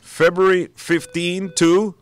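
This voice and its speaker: A man's voice: high-pitched, flat delivery, slow pace, smooth. A man hosting a live radio show, announcing informally with hesitations and repetition. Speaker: radio talk show host. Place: dry radio studio.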